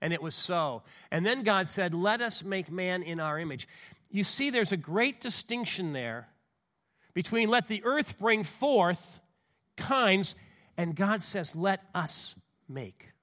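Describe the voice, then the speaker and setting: A high, bright-voiced man gives a sermon with a stuffy nose from a cold. He talks in a folksy, conversational way, full of asides and restarts. He reads scripture aloud with rising emphasis. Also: animated, slow pace. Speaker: pastor.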